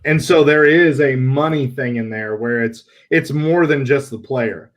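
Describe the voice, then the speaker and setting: A man hosting a casual sports talk show, animated and blunt, with a loose, joking delivery. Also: medium pitch, a little slow. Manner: animated, laughs, swears casually. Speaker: podcast host.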